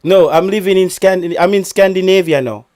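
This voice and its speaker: A man's voice: high-pitched, fairly quick, a little mellow. A man hosting a football chat with casual, teasing banter and informal, repeated phrases. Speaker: host.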